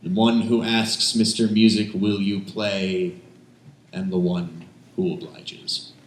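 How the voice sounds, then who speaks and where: A man's voice: sits low, level tone, takes it slowly. A man performing spoken word poetry at an open mic, emphatic when reciting, with self-deprecating, rambling asides. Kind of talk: spoken word poetry reading with casual banter between pieces. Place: indoors, small cafe open mic. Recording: roomy live cafe recording with background noise.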